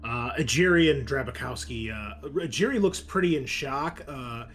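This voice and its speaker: A man narrating a tabletop roleplaying game as game master, casual and halting as he improvises descriptions. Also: mid-range voice, animated, fairly bright tone, slightly rough, faint music behind.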